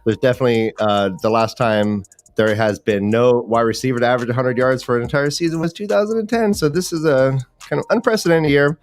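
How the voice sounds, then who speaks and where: A man's voice: medium pitch, a little fast. A man hosting a fantasy football livestream, chatting casually about sports.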